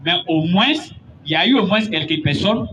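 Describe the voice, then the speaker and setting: A man preaching a sermon, building to emphatic, drawn-out points. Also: high-pitched, expressive, fairly bright tone. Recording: dull lo-fi recording with faint noise.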